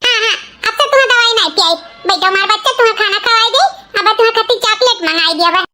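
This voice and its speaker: Doting, high-voiced village mother. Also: rapid-fire, sharp tone, slight background noise, heavily acted. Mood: coaxing. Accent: Awadhi. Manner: coaxing, fussing. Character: doting, patient young foster mother, elder daughter-in-law. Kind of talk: comic cartoon dialogue.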